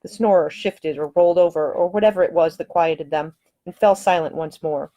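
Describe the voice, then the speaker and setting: Low-pitched woman narrating a romance novel. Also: moderate pace, mellow. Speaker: author reading own novel. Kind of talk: scripted audiobook narration, romance.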